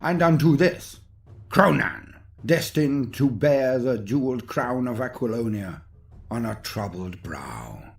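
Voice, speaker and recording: A man's voice: mid-range voice, big pitch swings, slow pace, creaky, husky. A man narrating a scripted mock-epic fantasy tale in a grandiose, theatrical manner with ornate delivery. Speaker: storyteller, narrator.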